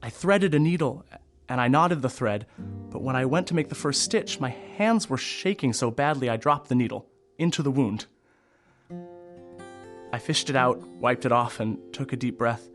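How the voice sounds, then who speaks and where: An actor playing a candid, wry theatre costume designer and Vietnam veteran in an audio drama, telling things in a measured, reflective way. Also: male voice, mid-range voice, expressive, lightly acted. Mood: grave, reflective. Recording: music behind the voice.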